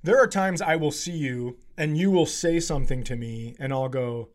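A male comedian hosting a podcast in casual comic chat, riffing in an animated, profane, self-mocking way. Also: on the high side, normal speed.

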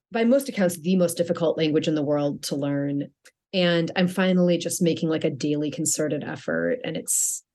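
A woman's voice: fairly low pitch, darkish tone. A middle-aged woman author answering interview questions in a chatty way, often restarting her sentences and laughing lightly.

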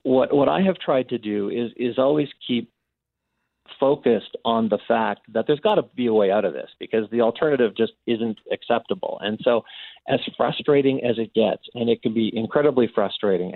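A Canadian man gives earnest interview answers on radio in a mid-pitched voice. He is halting and doubles words for emphasis. The sound is dry. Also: creaky, dry recording, phone-quality sound. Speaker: interview guest, abuse survivor and advocate.

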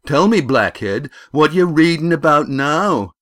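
A man voicing a loud, bullying, heavy-set village boy in a storybook, with rough, taunting speech. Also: fairly high pitch, expressive, a little slow, dry recording, clean studio sound, pitched above the performer's own voice. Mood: jeering. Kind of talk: storybook character voice.

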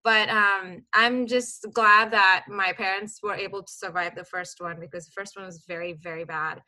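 A woman in her thirties from Kuwait, telling her life story as a podcast guest in a lively, chatty way with laughs and restarts. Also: medium pitch, moderate pace, low-quality recording. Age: 30s. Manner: animated, laughs often, many restarts and fillers. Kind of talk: casual storytelling.

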